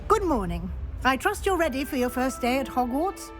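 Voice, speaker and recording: Witch professor, video game, formal, authoritative, precise, animated intonation, music underneath. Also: sits high, moderate pace. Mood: brisk, encouraging. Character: brisk, authoritative female witch professor, middle-aged or older. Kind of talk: scripted video game dialogue.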